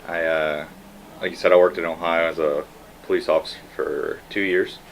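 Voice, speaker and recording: A man's voice: low voice, steady tone, normal speed. A young man from Kentucky with an American accent, a police officer answering as a guest in a hesitant, matter-of-fact way. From Kentucky, United States. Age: young adult. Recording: noticeable background noise.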